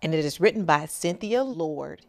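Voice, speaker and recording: A middle-aged woman reading a children's picture book aloud in a deliberate, emphatic, theatrical way. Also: low voice, lively intonation, vocal fry, darkish tone.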